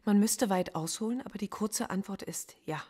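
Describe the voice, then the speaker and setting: Actress as a guarded radio-drama academic, husky voice, icy and curt. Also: female voice, medium pitch, moderate pace, warm tone, close to an everyday voice. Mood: defiant. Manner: curt, cool and defiant. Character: defiant female philosophy professor under interrogation. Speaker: philosophy professor. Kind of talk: radio play acting.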